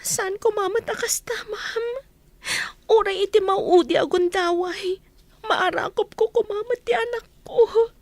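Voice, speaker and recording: A woman's voice: very high-pitched, darkish tone, no room sound. A woman playing a distressed young mother in a radio drama, pleading in a tearful, plaintive voice. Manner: tearful, plaintive, drawn-out delivery.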